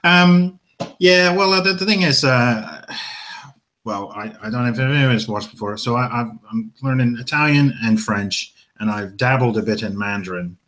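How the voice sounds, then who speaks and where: A man co-hosting a casual chat show, rambling and hesitant, with many pauses and fillers, and a dry, self-mocking way of talking. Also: medium pitch, a bit bright. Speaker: podcast co-host.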